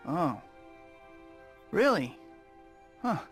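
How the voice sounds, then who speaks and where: A man's voice: a little high, big pitch swings, drawn-out words, heavily acted. A young American man voicing a glib, awkward young superhero in a video game, with music behind him. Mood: awkward, apologetic. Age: young adult. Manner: glib, quipping. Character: wisecracking, awkward young male superhero and photographer.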